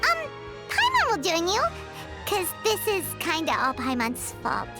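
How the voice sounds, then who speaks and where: A woman voicing a small, excitable, childlike female fairy companion with blunt reactions in a video game. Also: very high voice, a little slow, full character voice. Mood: sheepish. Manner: refers to self in third person, exclaiming. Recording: game dialogue over loud music.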